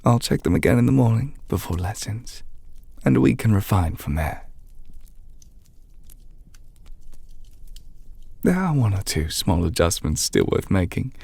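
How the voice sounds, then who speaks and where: A man playing a gentle, patient magic teacher in an ASMR audio roleplay, speaking softly and formally, close to the microphone, as he reassures an apprentice. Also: sits low, no room sound, full character voice. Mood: warm reassurance. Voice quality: soft, breathy, half-whispered. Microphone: close mic, dry.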